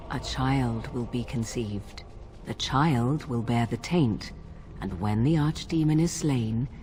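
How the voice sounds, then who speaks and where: An actress playing an aloof, secretive young witch in a fantasy game, speaking in formal, archaic, deliberate phrases. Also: a bass voice, dark tone, heavily acted. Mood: measured, knowing. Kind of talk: dramatic fantasy game dialogue.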